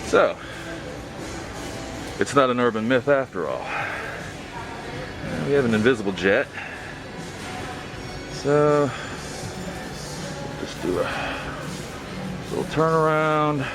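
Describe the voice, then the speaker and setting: Slow-paced man musing aloud over music. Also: mid-range voice, lively intonation. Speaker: host of a toy-hunting vlog. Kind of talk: casual off-the-cuff commentary while browsing store shelves. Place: inside a large retail store.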